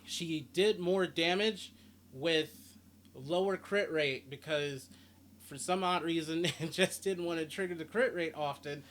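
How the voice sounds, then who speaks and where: Man, fairly high voice, casual gaming guide narration, noisy background with game ambience. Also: unhurried, fairly bright tone. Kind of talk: casual game guide commentary, reading stats aloud. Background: game sounds and ambience.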